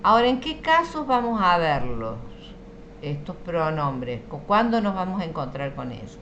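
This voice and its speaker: A woman's voice: on the low side, big pitch swings, moderate pace, a bit bright, lo-fi sound, slight background noise. A woman with an Argentine accent teaching French in an online class, patient and explanatory, often checking that students follow. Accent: Argentine Spanish.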